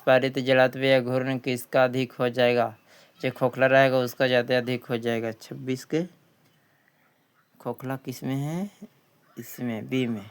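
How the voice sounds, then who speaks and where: A man's voice: mid-range voice, clean tone. A man with a Bihari accent teaching an exam quiz lesson, reading questions aloud, often repeating them, then giving the answers.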